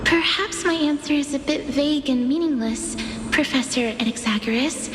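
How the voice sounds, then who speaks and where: An actress playing a gentle, solemn young woman in a video game, soft and wistful in manner. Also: high voice, moderate pace, music in the background, natural voice. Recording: echoey with noise behind.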